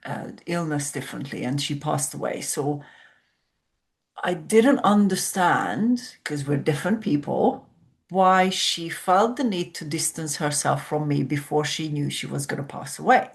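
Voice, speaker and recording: A woman speaks as a guest on a podcast, slowly explaining her coaching ideas. Her voice sits on the lower side and has a patient, reflective way of talking. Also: gravelly. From Hungary. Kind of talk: podcast conversation.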